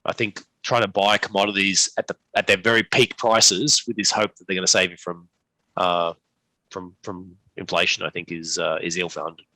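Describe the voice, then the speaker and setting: A man speaking as an investment expert in an unscripted interview, halting and often restarting his phrases. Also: sits low, level tone, sharp tone, no room sound, rough recording. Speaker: investment manager, interview guest. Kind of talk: unscripted market commentary in a live Q&A.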